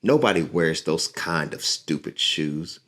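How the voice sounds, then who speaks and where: A man reads out a tough neighborhood bully from a storybook, gruff and taunting. The voice sits low and rough, snapping at the other boy in a read-aloud for children. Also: a little slow, a bit bright.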